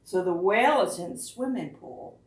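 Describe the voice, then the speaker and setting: A woman librarian reading picture books aloud to preschoolers in an expressive way, often putting questions to her young listeners. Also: lowish voice, normal speed. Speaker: children's librarian reading at storytime. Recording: roomy echo, distant microphone.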